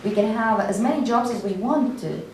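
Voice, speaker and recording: A woman speaking as a panelist, explaining in a measured way during a discussion. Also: on the high side. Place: indoor panel discussion room. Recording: distant echoey room, faint noise.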